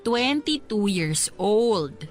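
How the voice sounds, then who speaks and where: Gentle young wife in her twenties, patient and very slow-spoken, with a lively voice. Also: medium pitch. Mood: kind. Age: 20s. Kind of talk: Tagalog radio drama acting. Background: background music.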